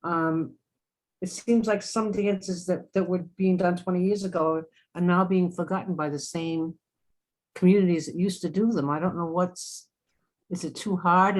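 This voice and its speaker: A woman's voice: lowish voice, steady tone, moderate pace, darkish tone, low-quality recording. A woman musing conversationally as a participant in a casual discussion on a video call. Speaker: participant commenting.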